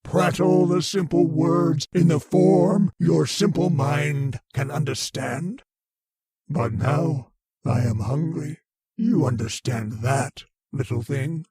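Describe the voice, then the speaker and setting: Casting: ancient alien invader for radio horror, dark rasping voice, unhurried, pompous and menacing. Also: male voice, fairly high pitch, dry recording, exaggerated voice. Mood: hungry menace. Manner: slow, pompous, contemptuous, declaiming. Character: ancient arrogant alien mind, a menacing meteor-borne invader. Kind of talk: old-time radio horror acting.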